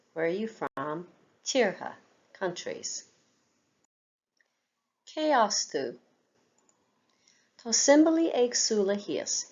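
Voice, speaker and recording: Woman language teacher, unhurried repetition drills, dull lo-fi sound. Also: medium pitch, a little mellow. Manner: says each word several times slowly for learners to repeat. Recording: dull lo-fi recording.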